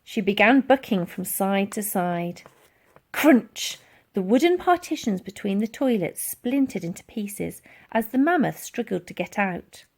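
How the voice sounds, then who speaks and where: A woman's voice: medium pitch, unhurried, slightly rough. A woman reading a children's book aloud as dramatic, theatrical narration. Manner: expressive, theatrical. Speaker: storybook reader.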